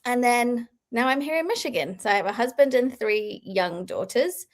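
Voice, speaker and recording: Guest, woman in her thirties from Belgium, higher-pitched voice, easy chatty delivery with frequent laughter. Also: animated, normal speed. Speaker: guest crochet designer. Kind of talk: casual interview chat.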